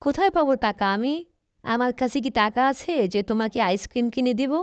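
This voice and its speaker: A woman's voice: on the high side, a little fast, smooth, no room sound, lo-fi sound, lightly acted. A woman voicing a complaining grandmother in a cartoon, exasperated with cooking and requests. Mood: fed up. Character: grumbling grandmother tired of cooking.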